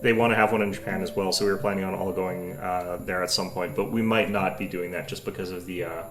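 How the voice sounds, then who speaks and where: A young man with a low, gravelly voice chats loosely with his stream viewers, wandering between thoughts with plenty of fillers. Faint music plays underneath him. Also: fairly even intonation, quick pace, a bit bright. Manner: rambling, many fillers and restarts. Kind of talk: casual chat with stream viewers.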